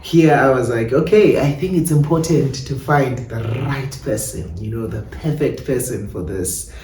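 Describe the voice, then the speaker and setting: South African man, mellow, in a roomy space. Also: mid-range voice, normal speed. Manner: switches between English and Xhosa. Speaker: playwright and director being interviewed. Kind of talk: reflective interview talk. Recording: roomy, distant mic, faint background noise.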